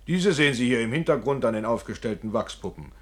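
A man's voice: medium pitch, expressive, quick pace, close to an everyday voice. An actor playing a ceremonious ballad showman in a radio play, presenting in a formal, declamatory manner. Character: ceremonious male fairground ballad showman presenting picture boards.

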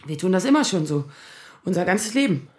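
A woman's voice: fairly low pitch, big pitch swings, darkish tone, roomy sound. An actress playing a distraught woman in her thirties in an audio drama, speaking in anxious, stammering bursts. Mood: agitated, fearful. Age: 30s. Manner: halting, stammering. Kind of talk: naturalistic audio drama acting.

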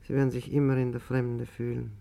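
An actor playing a weary, wise older exile, measured and gently resigned, in a 1950s German radio play. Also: male voice, medium pitch, completely flat delivery, gravelly, natural voice. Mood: gently probing.

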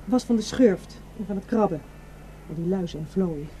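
An actress in a radio drama playing a fearful village wife who is anxious, imploring and insistent. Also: female voice, mid-range voice, normal speed, warm tone, slight background noise, natural voice. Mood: pleading, insistent.

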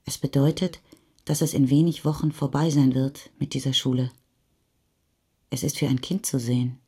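A woman's voice: rumbling low, level tone, moderate pace, dark tone, breathy edge. A woman reading literary narration as a narrator in a radio play. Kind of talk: literary third-person narration.

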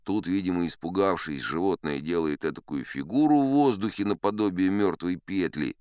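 A very low, smooth male voice as a seasoned sea captain spinning tall tales in an audio drama. He is wry and boastful, a conversational storyteller who swings widely in pitch and likes a dramatic pause. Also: lively intonation, darkish tone, no echo, clean studio sound. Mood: animated recounting. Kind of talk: theatrical first-person audiobook storytelling.

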